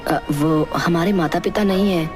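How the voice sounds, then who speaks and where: A woman voicing a hesitant but insistent young village boy in an animated story. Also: fairly low pitch, normal speed, over music, natural voice. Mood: helpful. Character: scheming young village boy, helpful little brother. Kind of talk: Hindi animated fairy tale acting.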